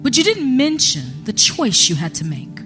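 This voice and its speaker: An actress playing a calm, persistent FBI special agent in a live audio drama, measured and coaxing as she questions. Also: a little high. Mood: probing, insistent. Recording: live stage recording with music behind.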